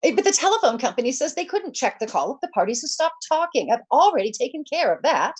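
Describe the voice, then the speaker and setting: An actress playing a nervous, demanding invalid wife in 1940s radio suspense style, with a fretful, insistent, stammering delivery. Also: female voice, high-pitched, very animated, fast, husky, exaggerated voice.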